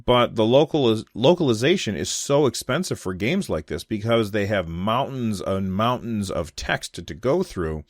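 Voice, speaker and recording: An American man with a fairly low voice hosts a casual solo gaming commentary. He thinks aloud in loose, unscripted phrases, talking conversationally. The sound is dry and close, with little room in it. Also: no room sound. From United States. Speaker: podcast host giving gaming commentary.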